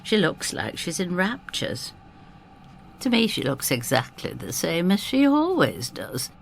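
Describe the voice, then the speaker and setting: An actress playing a plucky, down-to-earth older woman who narrates her adventure in an expressive, wry first-person style. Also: fairly low pitch, unhurried, vocal fry, slight background noise, heavily acted. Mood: wry storytelling. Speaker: narrator and lead actor.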